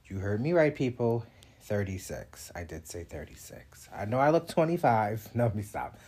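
Husky-voiced man hosting a podcast. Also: lowish voice, sing-song, normal speed, darkish tone. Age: 30s. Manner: chatty, reflective, laughs between thoughts. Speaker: podcast host. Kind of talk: casual solo podcast monologue.

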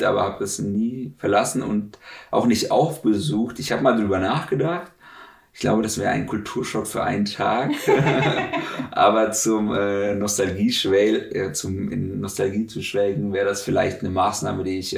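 Low, gravelly man in an echoey room. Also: steady tone, measured pace. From Russia. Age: 30s. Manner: hesitant, many filler sounds. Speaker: interviewee. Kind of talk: conversational interview. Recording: echoey room, distant mic.

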